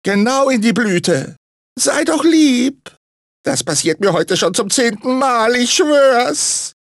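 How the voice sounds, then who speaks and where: A man's voice: very high voice, big pitch swings, slow, no room sound, studio quality, heavily acted. A man voicing a manic, grumpy talking fruit mascot in a cartoon video game, theatrical, swinging between sugary salesman and irritable grumbler. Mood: whiny, indignant. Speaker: video game character actor.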